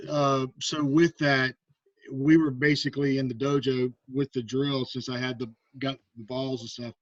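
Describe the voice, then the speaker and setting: A man's voice: medium pitch, no echo. A man on a video call, an instructor himself, asking a hesitant question and often restarting his sentences. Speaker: martial arts instructor, student of the class. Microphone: computer mic on a video call. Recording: dry, dull video call audio.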